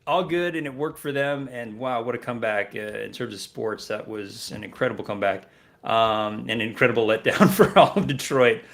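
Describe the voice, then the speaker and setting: A man co-hosting an online music radio show, chatting casually with energy and jokes, often pausing on fillers. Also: medium pitch, creaky. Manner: energetic, joking, lots of fillers. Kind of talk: casual chat.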